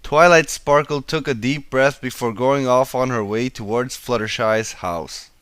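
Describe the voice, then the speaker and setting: A man's voice: medium pitch, a little slow, a bit bright, clean tone, no echo, clean studio sound. A man narrating a scripted story as an amateur fan fiction reader. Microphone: home microphone.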